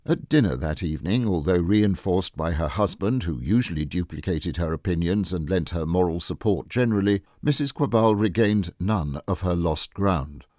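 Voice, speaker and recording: A man narrating a literary short story as a scripted audiobook, in a measured, formal storytelling manner. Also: sits low, dark tone, no echo, clean studio sound.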